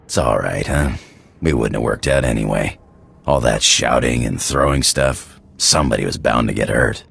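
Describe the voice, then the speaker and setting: A man playing a gruff, cocky, hot-tempered space pirate pilot in a video game, with a gravelly voice and rough slangy talk. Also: extremely low pitch, moderate pace, fairly bright tone, exaggerated voice. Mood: cocky, casual. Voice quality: gravelly, husky.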